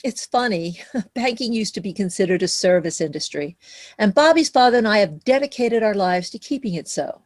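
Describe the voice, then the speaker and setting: A woman playing a fond, wry widowed mother who works in banking, speaking in a measured, conversational way in a musical theatre read-through. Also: mid-range voice, expressive, darkish tone, natural voice. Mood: wistful. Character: widowed banker mother, middle-aged or older, fond and wry. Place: recorded remotely online.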